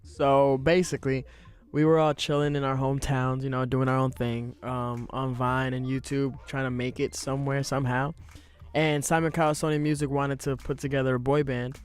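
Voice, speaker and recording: A man's voice: mid-range voice, moderate pace, smooth. An eighteen-year-old man from a boy band, telling stories casually in a radio studio. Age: teens. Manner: relaxed storyteller. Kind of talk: casual interview chat.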